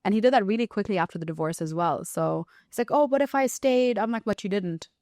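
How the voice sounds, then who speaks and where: A woman hosting a podcast in a casual, candid conversation, telling stories in an animated, slangy way. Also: mid-range voice, fast talker, no echo, clean studio sound. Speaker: podcast host.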